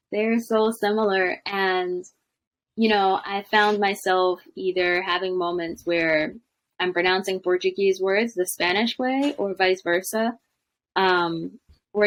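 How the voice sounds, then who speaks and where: A young woman, a language coach, chatting casually on a video call from a home room, with lots of fillers. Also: mid-range voice. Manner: chatty, many fillers, laughs often. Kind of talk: casual interview chat.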